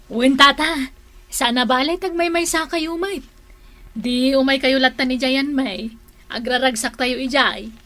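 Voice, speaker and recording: A woman's voice: high-pitched, steady tone, unhurried, sharp tone. A woman playing a friendly, forgiving village neighbour in a radio drama, chatty and conciliatory.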